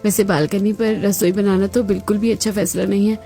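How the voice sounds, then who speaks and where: A woman's voice: medium pitch, flat delivery, brisk, creaky, dark tone, faint music behind, natural voice. A woman playing a capable, long-suffering young daughter-in-law in a cartoon story. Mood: overheated, exasperated.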